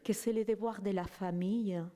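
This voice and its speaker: A woman giving a personal testimony in halting, non-native French, often searching for words and checking in with "non?". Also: mid-range voice, fairly even intonation, dark tone. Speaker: guest speaker giving a testimony. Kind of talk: slow reflective personal testimony.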